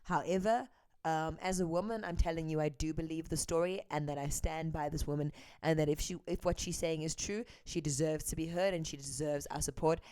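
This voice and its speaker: Earnest woman, smooth low voice. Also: steady tone, a little fast, darkish tone, no room sound. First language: English. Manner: earnest, candid, with frequent hesitant ums. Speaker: host, translator. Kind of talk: earnest commentary and live translation.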